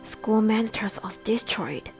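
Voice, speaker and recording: A woman's voice: mid-range voice, animated, unhurried, gravelly, darkish tone. A woman playing a composed, businesslike senior police detective in an amateur radio drama. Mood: composed, serious. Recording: music behind the voice.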